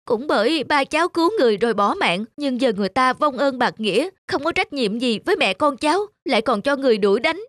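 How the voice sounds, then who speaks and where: A woman voicing an aggrieved, entitled young man in an animated folktale, whining and resentful. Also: high voice, quick pace, hardly any pauses, no echo, clean studio sound. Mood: tearful, aggrieved. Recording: music under the dialogue.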